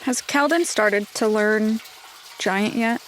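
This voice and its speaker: A woman's voice: medium pitch, slow, slight background noise, low-quality recording. A woman talking casually as a player in a tabletop roleplay game.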